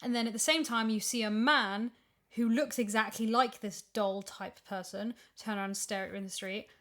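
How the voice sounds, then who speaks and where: A woman's voice: on the high side, fairly even intonation, normal speed, a bit bright. Woman chatting casually, explaining her views. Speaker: podcast guest, audio drama voice actor. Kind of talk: casual chat, discussion.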